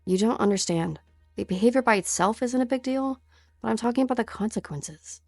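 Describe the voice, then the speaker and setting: A young mercenary woman acted by a female fan dubber talks with a creaky edge at a medium pitch. She is earnest, intense and defiant, pressing her point in game drama style. The sound is dry, with music behind her. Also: fairly quick, darkish tone, no room sound. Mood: insistent.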